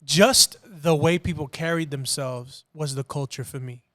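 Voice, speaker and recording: A man speaks as a podcast guest, telling family stories with lively emphasis. His voice is a little high, and the recording is close and dry. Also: measured pace, dry recording. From United States. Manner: animated, storytelling, emphatic. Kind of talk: casual podcast chat.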